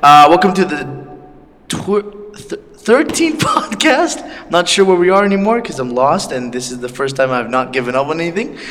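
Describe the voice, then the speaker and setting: Young male podcast host, animated and laughing; reverberant room with noise behind. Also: on the high side, normal speed. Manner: loose, laughing, lots of fillers and swearing. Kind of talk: casual chat.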